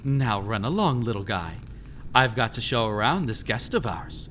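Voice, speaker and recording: A man playing a mysterious, gleefully sinister keeper of a haunted house in an audio roleplay, speaking to the listener with teasing, drawn-out, theatrical phrasing. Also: fairly low pitch, very animated, background noise. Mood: playful cooing. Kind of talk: scripted immersive audio roleplay, storytelling.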